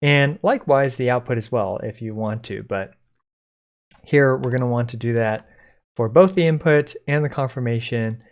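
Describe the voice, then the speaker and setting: A man presenting a code-along software tutorial in an informal, step-by-step explaining way. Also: medium pitch, normal speed. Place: indoors at a computer, screen recording.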